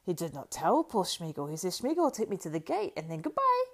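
A woman voicing a wretched, cringing male creature who wails and pleads in a shrill, squeaky whisper. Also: huge pitch swings, quick pace, full character voice. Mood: whining. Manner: wailing, pleading, singsong.

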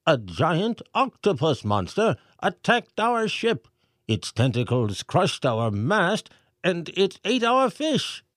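A man's voice: on the high side, huge pitch swings, takes it slowly, a bit bright, no room sound, clean studio sound, pitched above the performer's own voice, full character voice. A man voicing a flustered male town mayor in a storybook, reading a warning letter aloud in a raised, urgent voice. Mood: alarmed.

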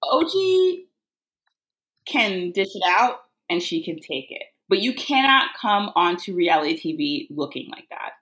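A young woman co-hosting a podcast, playful and expressive, often breaking into laughter. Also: lowish voice, measured pace. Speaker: podcast co-host. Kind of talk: casual chat.

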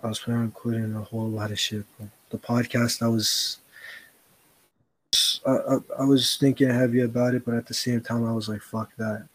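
A man's voice: mid-range voice, level tone, normal speed, poor audio. A young man co-hosting a podcast, talking earnestly in casual chat, rambling with slang and swearing. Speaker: podcast co-host.

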